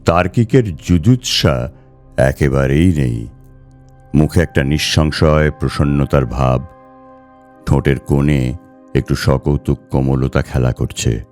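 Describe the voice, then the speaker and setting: A man tells a ghost story in a very low voice. His reading is slow, measured and dramatic, in the manner of a seasoned storyteller, with soft music underneath. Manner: measured, acts out the dialogue.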